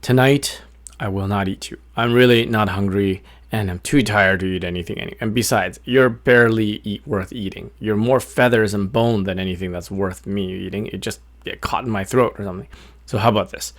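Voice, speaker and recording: A man voicing a big, tired, grumpy but soft-hearted wolf in a children's story, with weary grumbling. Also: on the low side, steady tone, brisk, lightly acted. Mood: weary bargaining.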